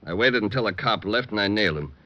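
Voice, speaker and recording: An actor playing a tough, impatient gangster in an old-time radio crime drama, terse and threatening. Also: male voice, on the low side, lively intonation, fast, pushed and bright. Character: menacing, impatient male gangster with a gun. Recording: dull, noisy old radio recording.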